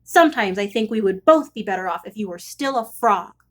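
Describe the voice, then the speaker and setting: A woman's voice: medium pitch, animated, a little fast, close to an everyday voice. A woman voicing an exasperated storybook princess who scolds and complains. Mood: fed up.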